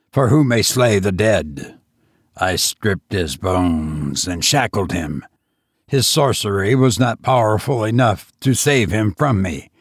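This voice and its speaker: A man's voice: sits low, breathy edge, dry recording, clean studio sound, voice pushed down, exaggerated voice. A man voicing an insane, murderous tavern host who escaped from prison, roaring and laughing madly. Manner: roaring, mad mirthless laughter.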